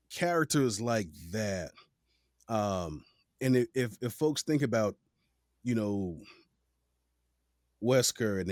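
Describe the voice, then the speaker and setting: A man's voice: on the low side, a little mellow, no room sound, studio quality. A man hosting a podcast, asking long, hesitant questions full of fillers in a friendly, casual way. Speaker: podcast host. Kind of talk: casual interview.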